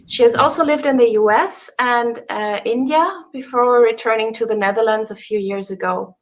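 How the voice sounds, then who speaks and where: A woman's voice: a little high, normal speed. A woman hosting a live online panel, introducing guests and asking polite questions with some "uh" fillers. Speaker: host. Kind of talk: live video panel moderating.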